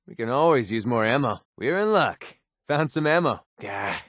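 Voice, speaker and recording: An actor voicing a wry, prickly young mercenary sniper with a hidden soft side in a video game, sarcastic and conversational. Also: male voice, medium pitch, moderate pace, no echo, clean studio sound. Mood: grumbling. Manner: sarcastic, conversational, emotionally expressive. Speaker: video game companion character. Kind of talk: naturalistic video game voice acting.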